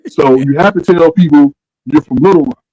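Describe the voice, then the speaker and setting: Man, forties, fairly high voice, dry close sound, laid-back storyteller. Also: moderate pace, warm tone, dry recording, muffled recording. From Arkansas. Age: 40s. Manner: laid-back storytelling, trails off with "you know what I'm saying". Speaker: co-host. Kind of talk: casual sports chat.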